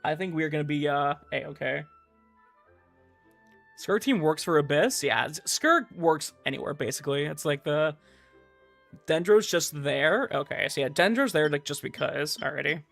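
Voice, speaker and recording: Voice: mid-range voice, expressive, normal speed, creaky, fairly bright tone. Easygoing game streamer over game music. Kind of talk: casual live gameplay commentary. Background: video game music.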